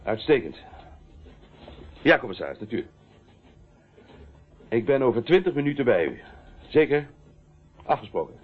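An actor playing a brusque, sceptical police detective in a radio drama, dry and sarcastic, on an old, noisy recording. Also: male voice, mid-range voice, sing-song, moderate pace, pauses often, breathy edge, phone-quality sound. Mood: businesslike.

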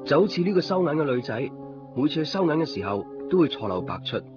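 Musing young narrator, muffled with music. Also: male voice, fairly high pitch, sing-song, fast. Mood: wistful, reflective. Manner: musing, conversational. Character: reflective, lovelorn young man narrating his own story. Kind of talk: Cantonese radio drama narration and dialogue. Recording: dull lo-fi old radio recording.

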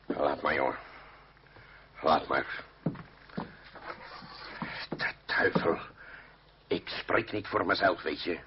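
An actor playing a blustering, hot-tempered revolutionary orator who curses and speaks bluntly in a Dutch radio play. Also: male voice, rumbling low, slow pace, pauses often, noisy background. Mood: grave, earnest. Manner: bellowing, cursing, blunt. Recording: muffled old radio play recording.

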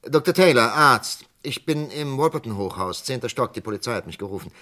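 A man's voice: mid-range voice, lively intonation, a little fast, pushed and bright. An actor playing a concerned, puzzled psychiatrist in a radio crime play, hesitant and probing, breaking off his sentences. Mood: uneasy, probing.

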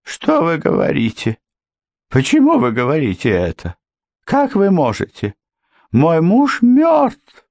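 Shrill frightened housekeeper, put on by a man. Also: wildly swooping pitch, takes it slowly, gravelly, darkish tone, no room sound, heavily acted. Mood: desperate. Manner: high, strained, tearful. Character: anxious middle-aged female housekeeper, shrill, pleading. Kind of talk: audiobook character voice.

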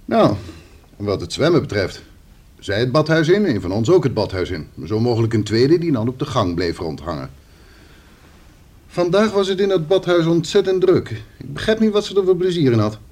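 An actor playing a talkative, matter-of-fact, slightly defensive police officer in a Dutch radio play. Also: male voice, medium pitch, big pitch swings, normal speed, a little mellow. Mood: matter-of-fact reporting.

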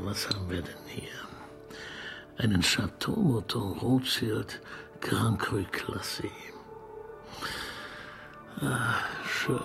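An actor playing a cultured, pedantic and bossy police inspector in a radio crime comedy, methodical and dryly ironic. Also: male voice, on the low side, vocal fry. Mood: musing, savouring.

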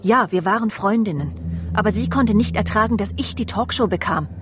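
An actress playing an evasive, self-absorbed TV journalist in prison, haughty and defensive with coy pleading, in a German radio crime drama. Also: female voice, medium pitch, fairly quick, noisy background, lightly acted. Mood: indignant, agitated.

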